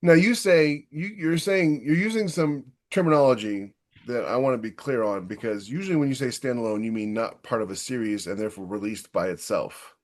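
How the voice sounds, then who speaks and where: Mid-pitched man, blunt informal interviewer. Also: fairly quick. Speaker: podcast host, author. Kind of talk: casual interview chat.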